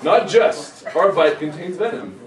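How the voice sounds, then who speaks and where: A man's voice: a little high, very animated, measured pace. A man reading the part of a brooding, romantic young vampire in a joking dramatic script reading. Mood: confiding, grave. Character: brooding youthful male vampire, romantic and confiding. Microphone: camera microphone from a distance. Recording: echoey noisy room, distant camera mic.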